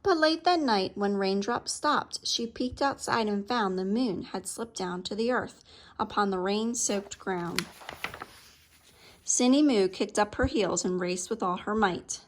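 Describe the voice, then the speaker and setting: A woman reading a picture book aloud to children in an expressive storytelling style. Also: medium pitch, unhurried.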